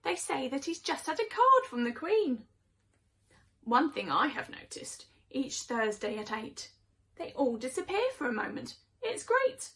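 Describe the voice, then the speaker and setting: Woman as chatty house cat, high-pitched, opinionated, expressive rhyming storybook delivery. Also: a little slow, exaggerated voice. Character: chatty, opinionated house cats gossiping about their humans.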